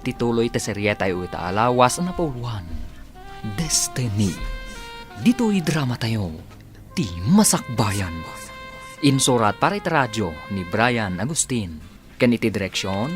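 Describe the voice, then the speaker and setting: A man's voice: mid-range voice, lively intonation, unhurried, pauses often. A man with an Ilocano accent announcing and narrating a scripted radio drama in a dramatic way. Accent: Ilocano, northern Philippines. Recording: music under the voice. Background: music.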